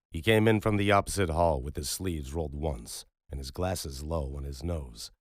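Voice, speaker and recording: Very deep, husky male narrator. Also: a little mellow, dry recording, studio quality. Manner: measured, moody storytelling. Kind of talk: scripted audiobook narration.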